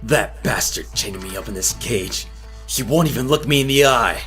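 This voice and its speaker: A man's voice: mid-range voice, lively intonation, normal speed, fairly bright tone, soft background music, natural voice. A man playing a proud, defiant ninja police chief in an audio drama, intense and commanding. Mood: bitter defiance.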